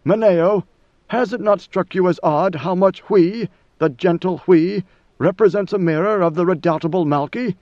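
A cold, imperious god-emperor, voiced by a male narrator, speaking with lofty, measured command. The voice sits high. The recording is dry and slightly muffled. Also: no echo, close to an everyday voice.

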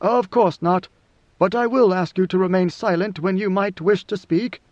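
A man voicing a grave, ancient god-emperor in a storybook reading, with slow, solemn, oracular pronouncements. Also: high voice, steady tone, darkish tone, dry recording, dull audio, lightly acted. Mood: firm, measured.

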